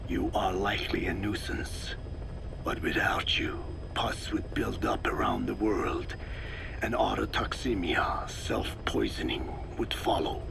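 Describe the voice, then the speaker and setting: An actor playing a very old, wise Navajo scientist in a video game, solemn and lecturing, with a raspy, breathy croak. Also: male voice, on the low side. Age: older. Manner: slow, deliberate, lecturing. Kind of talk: scripted dramatic game dialogue.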